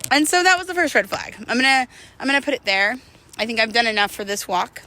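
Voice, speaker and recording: A woman's voice: fairly high pitch, expressive, quick pace, pushed and bright. A woman in her thirties talking in a candid, rambling, slangy way, as in a casual confessional walking vlog. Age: 30s. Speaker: vlogger.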